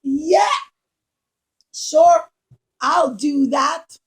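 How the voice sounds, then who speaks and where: A woman voicing a rude, greedy young hunter in a puppet show, exclaiming loudly with whiny impatience. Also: sits high, very slow, voice pushed up. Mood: careless, eager.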